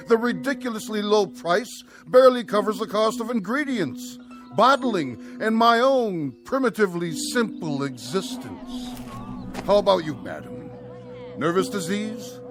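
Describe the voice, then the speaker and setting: An actor playing a showy, fast-talking patent medicine peddler with florid barker's patter, in old-time radio drama style. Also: male voice, high voice. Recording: music behind, vintage radio drama.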